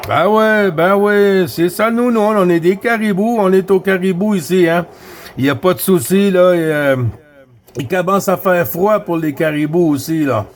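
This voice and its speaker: Man, québécois accent, easygoing radio chat, repeats himself, fairly high voice. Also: moderate pace. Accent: Québécois French. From Quebec. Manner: relaxed, friendly, repeats himself with fillers. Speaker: CB radio operator.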